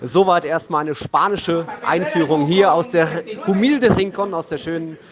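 Higher-pitched man hosting a football podcast. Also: normal speed, dull audio, crowd noise. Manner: chatty, jokey, sprinkles in Spanish terms. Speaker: podcast co-host. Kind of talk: casual football podcast chat.

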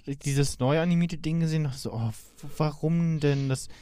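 A man chatting casually as a podcast panelist, starting and restarting haltingly. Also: on the high side, fairly even intonation, warm tone, smooth, no echo, studio quality. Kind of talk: casual chat.

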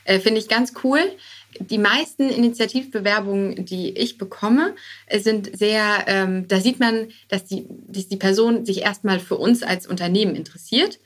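Woman, startup founder as podcast guest. Mid-pitched, conversational, informal, hesitant phrasing, English business terms mixed in. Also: normal speed. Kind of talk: conversational interview answers.

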